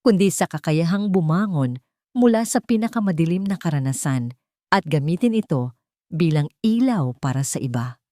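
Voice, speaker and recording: A woman's voice: lowish voice, warm tone, no room sound, studio quality. A woman with a Filipino accent narrating a scripted story in a dramatic audiobook style.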